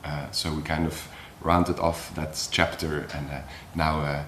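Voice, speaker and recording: Deep voiced belgian guy, young acrobat, says "like" a lot, echoey room. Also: a little slow, slight background noise. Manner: relaxed, filler-heavy with frequent "like". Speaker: circus acrobat. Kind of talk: casual interview talk.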